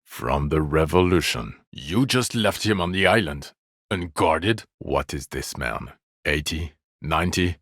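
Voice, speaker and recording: An actor playing a bitter, exasperated police detective in a video game, sarcastic, scornful and quick to swear at his drunk partner. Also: extremely low pitch, expressive, fairly bright tone, dry recording, studio quality, exaggerated voice.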